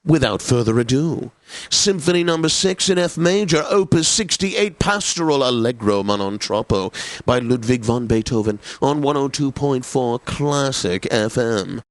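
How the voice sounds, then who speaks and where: Casting: male actor for condescending, pompous classical radio host in a game. Medium-pitched man, theatrical scripted announcing, precise diction, dry booth recording. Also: expressive, no room sound, close to an everyday voice. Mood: grandly announcing. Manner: pompous, theatrical, deliberate enunciation.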